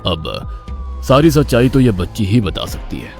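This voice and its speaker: A man voicing a grave middle-aged police inspector in a cartoon story, speaking low and measured. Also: big pitch swings, gravelly, darkish tone, heavily acted. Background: background music.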